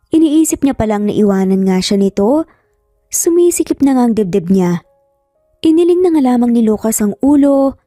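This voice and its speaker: A woman's voice: medium pitch, warm tone, no echo, studio quality. A Filipino woman narrating a scripted romance story. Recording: music behind the voice. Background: background music.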